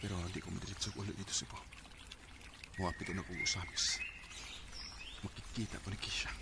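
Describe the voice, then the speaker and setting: An actor with a Cebuano Filipino accent playing a torn, earnest young husband and dutiful son in a radio drama. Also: male voice, low voice, steady tone, fairly quick, creaky. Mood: hurt. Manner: emotional, earnest. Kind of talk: Bisaya radio drama acting. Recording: very noisy radio drama recording.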